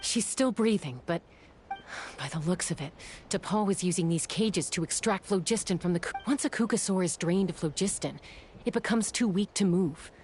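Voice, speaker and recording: An actress playing a determined, composed young female adventurer in a video game, brisk and decisive. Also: lowish voice, darkish tone, faint music behind, natural voice. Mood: focused.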